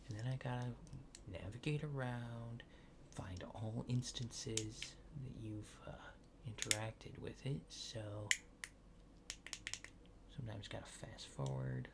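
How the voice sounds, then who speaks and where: Soft creaky man, whispered ASMR roleplay. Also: noisy background. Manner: hesitant, rambling, low-key reassuring. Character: casual, polite alien technician erasing an abductee's memories. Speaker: ASMR creator.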